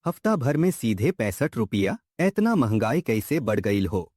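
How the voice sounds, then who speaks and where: A man's voice: mid-range voice, sing-song, moderate pace, no echo, clean studio sound. A man playing a poor, honest, devout street food vendor in a cartoon moral story, speaking earnestly with a Bhojpuri accent. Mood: dismayed. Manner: earnest, pleading, principled. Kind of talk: animated moral story dialogue. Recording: loud music bed behind voice.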